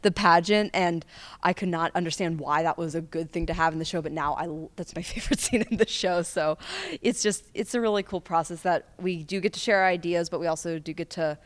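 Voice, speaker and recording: A woman's voice: lowish voice, fairly quick. A young woman, a student actor, chatting casually and animatedly in an interview. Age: young adult.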